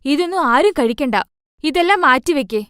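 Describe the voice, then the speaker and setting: A woman's voice: sits high, lively intonation, normal speed, fairly bright tone, dry recording, clean studio sound. A woman voicing a stern, traditional mother-in-law in a children's story, scolding and bossy, with emphatic delivery. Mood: angry, scolding.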